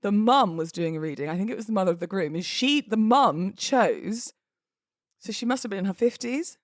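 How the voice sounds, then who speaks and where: A woman's voice: medium pitch, animated, brisk, no echo, clean studio sound. A British woman in her thirties chatting casually and expressively as a solo podcast host, in an informal, slangy way. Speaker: podcast host and wedding celebrant.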